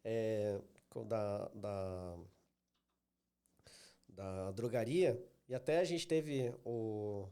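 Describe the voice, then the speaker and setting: A man's voice: lowish voice, warm tone, smooth. A man from Santa Catarina with a southern Brazilian accent, hosting a casual podcast interview while he has a cold. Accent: Santa Catarina Brazilian Portuguese. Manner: chatty, storytelling, slows down to be understood. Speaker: podcast host, sales trainer.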